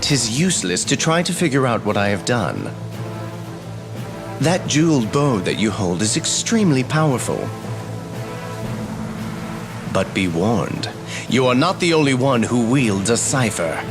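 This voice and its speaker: Haughty, swooping villain lord. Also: male voice, mid-range voice, sing-song, measured pace, pauses often, over music. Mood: impatient, menacing. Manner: imperious, theatrical. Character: haughty, menacing male lord scheming for the throne. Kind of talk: fantasy game voice acting.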